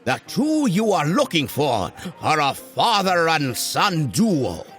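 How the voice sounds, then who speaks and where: An actor playing a calm, patient small-town cartoon sheriff, measured, folksy and diplomatic. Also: male voice, on the high side, soft background music, full character voice. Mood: calm, firm.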